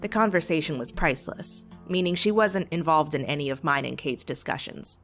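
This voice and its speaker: Give a slightly low-voiced teenage girl played by a woman, wry and fiercely loyal. She confides in her journal with sarcastic, emotive candor, as in a comic dub drama. Guitar music plays clearly behind her. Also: expressive, brisk. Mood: wistful, wry. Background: soft guitar music.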